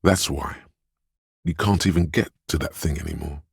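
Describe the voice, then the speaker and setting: Super low husky game narrator, gentle inner empathy voice, solemn n intimate, real dry close sound. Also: male voice, no room sound, exaggerated voice. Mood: tender, perceptive. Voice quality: very deep, gravelly, husky. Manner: measured, intimate, solemn, literary delivery. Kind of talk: dramatic scripted narration in a role-playing game.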